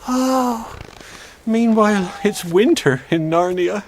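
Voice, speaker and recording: Genteel, swooping faun, courteous and wistful. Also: female voice, mid-range voice, takes it slowly, a little hiss or hum. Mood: eager, hospitable. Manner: genteel, flowery, theatrically expressive. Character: courteous, nervous, wistful faun host of a snowy fantasy land. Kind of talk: British children's radio drama acting.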